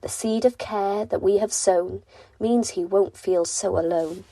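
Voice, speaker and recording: A woman's voice: medium pitch, fairly even intonation, a little slow, warm tone, dry recording, poor audio. A woman voicing a caring messenger bird that speaks in singsong rhyme in a children's storybook. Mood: bright, proud. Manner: singsong rhyming. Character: caring birds chirping rhymed messages. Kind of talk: children's bedtime storybook reading.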